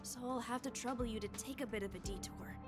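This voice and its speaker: A woman's voice: fairly high pitch, quick pace, faint music behind, natural voice. A woman voicing a dutiful, injured young station guard in game dialogue, formal and apologetic. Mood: earnest.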